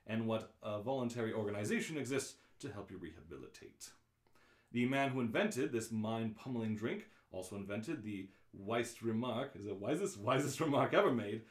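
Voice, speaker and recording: A man reading as the dry, informative narrator of a galactic guidebook in an amateur radio play, deliberate and declaiming. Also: lowish voice. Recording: roomy, echoing live reading.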